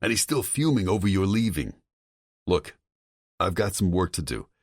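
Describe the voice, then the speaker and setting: A man's voice: sits low, very animated, normal speed, husky, no room sound, voice pushed up, exaggerated voice. A man voicing an agitated brother who warns urgently over the phone. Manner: urgent, swearing, insistent.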